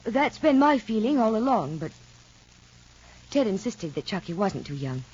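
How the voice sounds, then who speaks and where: An anxious, probing mother figure from a vintage radio soap. Her husky voice swings up and down as she presses with questions. The recording is muffled and lo-fi. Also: medium pitch, sing-song, normal speed, a little mellow. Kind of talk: 1940s radio acting.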